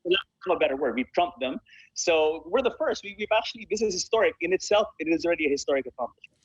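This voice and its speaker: A man's voice: a little high, lively intonation, fast talker, husky, no echo. A man talking enthusiastically as an interview guest, repeating phrases for emphasis. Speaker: guest, bobsled team president. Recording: dull, lo-fi call audio.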